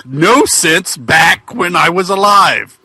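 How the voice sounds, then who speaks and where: A man acts out a dim-witted ghost of an old wrestler in a comic fan-fiction reading. He rambles in a bright, fairly high voice with big swings of pitch, folksy and bumbling. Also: lively intonation, slow pace, breathy edge, no room sound, full character voice. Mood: boastful, rambling. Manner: rambling, bumbling, colloquial delivery.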